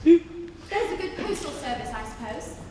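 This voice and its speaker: A woman's voice: high voice, sing-song, unhurried. A woman playing an elegant, romantic young society lady, declaiming formally in a staged reading of a period comedy. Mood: romantic, grand. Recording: distant, echoey noisy hall.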